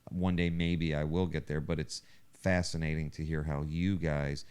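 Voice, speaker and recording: Casting: male podcast host, very deep and resonant, earnest and conversational. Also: little pitch movement, moderate pace, darkish tone, smooth.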